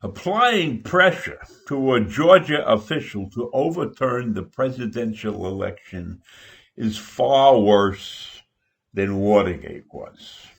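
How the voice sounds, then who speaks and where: Older american guy doing a political opinion piece, talks real slow and deliberate. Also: medium pitch, animated, creaky. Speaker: political commentator. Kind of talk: opinion monologue.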